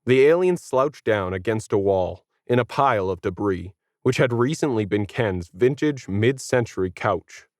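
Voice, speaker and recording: A man's voice: fairly low pitch, expressive, normal speed, few pauses, no room sound, clean studio sound. A man reading scripted fiction as a story narrator.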